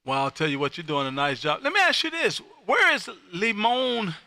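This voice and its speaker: High-pitched, bright-voiced loud radio host guy. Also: sing-song, normal speed, no room sound. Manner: loud, folksy showman. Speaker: amateur radio operator and livestream host. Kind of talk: casual radio contact chat. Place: home radio room.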